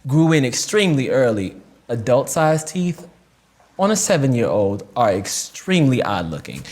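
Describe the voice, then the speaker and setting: An actor playing a wounded, reflective man recounting a painful childhood memory in a theatrical memoir monologue, with deliberate pauses. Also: mid-range voice. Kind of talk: filmed dramatic monologue reading, first-person memoir.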